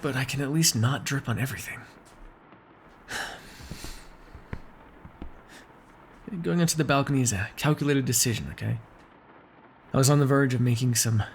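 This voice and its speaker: A man's voice: medium pitch, moderate pace, long pauses, slight background noise, close to an everyday voice. A man playing a bossy but caring vampire in an ASMR roleplay, teasing and wry, speaking naturally with small hesitations. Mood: defensive. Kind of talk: ASMR roleplay, one-sided dramatic dialogue.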